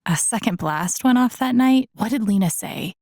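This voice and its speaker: A woman playing an introspective, gently wry young woman in a video game, musing to herself in a naturalistic, low-key way. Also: animated, normal speed, dry recording, studio quality. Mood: uneasy, surprised. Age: young adult. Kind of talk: naturalistic game voice acting, inner-monologue remarks.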